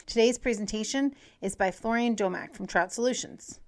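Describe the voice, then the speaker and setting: A woman hosting a webinar, reading a prepared introduction in a measured way over a call. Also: medium pitch. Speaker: webinar host. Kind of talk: scripted introduction.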